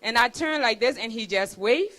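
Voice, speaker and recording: High, bright-voiced woman speaker. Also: expressive, moderate pace. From Liberia. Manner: emphatic storyteller, repeats key phrases. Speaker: student speaker at a celebration. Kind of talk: motivational speech with a personal story.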